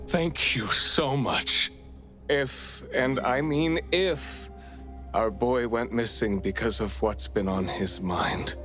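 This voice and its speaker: An actor playing a worried, grateful father in a game cutscene, speaking in a hesitant, faltering way. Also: fairly low pitch. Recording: music behind the voice.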